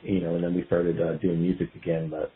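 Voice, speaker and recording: Low-voiced man on a dull, echoey line. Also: level tone, fast talker, background noise. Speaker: guest musician, guitarist. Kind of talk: casual podcast interview answers. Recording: dull phone-like line, roomy echo.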